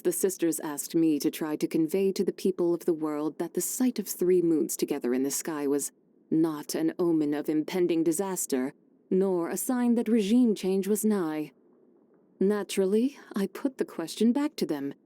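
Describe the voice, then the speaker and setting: A woman acts out an ancient, serene female immortal from a video game. Her voice is lowish and softly dark, with very little edge. She speaks in courteous, measured, old-fashioned sentences, wise and a little wistful. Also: animated, no echo, lightly acted. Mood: gently amused storytelling. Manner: formal, measured, genteel old-fashioned phrasing. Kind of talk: scripted game character voice lines.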